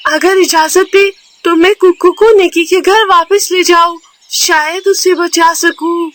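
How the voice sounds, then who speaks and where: A woman voicing a gentle, protective mother bird in a cartoon, earnest, pleading and full of morals. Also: very high-pitched, fairly even intonation, slow, pitched above the performer's own voice, exaggerated voice.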